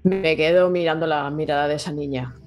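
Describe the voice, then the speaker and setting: A man's voice: on the high side, fairly even intonation, brisk, a little mellow, faint music behind. Hesitant male tabletop player. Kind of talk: in-character roleplay talk.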